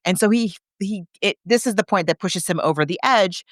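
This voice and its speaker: A woman's voice: medium pitch, fairly quick, dry recording, clean studio sound. A woman co-hosting a podcast, recapping a film in casual chat with a conversational storyteller's manner. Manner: conversational storytelling, acting out characters' reactions. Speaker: podcast co-host.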